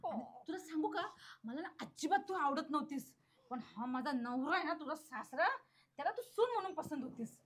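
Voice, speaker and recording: A woman's voice: high-pitched, expressive, a little fast, no room sound. A woman playing a spiteful, domineering middle-aged mother-in-law in a family drama, with colloquial Maharashtrian Marathi and a scolding, repetitive way of talking. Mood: furious, threatening. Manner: scolding, repeats phrases insistently. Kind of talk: amateur family drama acting.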